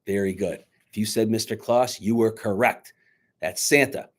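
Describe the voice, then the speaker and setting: A man reading a picture book aloud dramatically to children, pausing to coax them with questions like a teacher. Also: lowish voice. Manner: dramatic, teacherly, coaxing young listeners with questions.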